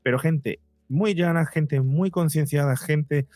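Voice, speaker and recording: A man's voice: fairly high pitch, takes it slowly, mellow, no room sound, clean studio sound. A man answers as an interview guest, explaining conversationally, often repeating and rephrasing as he goes. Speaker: podcast guest, psychologist and documentary creator.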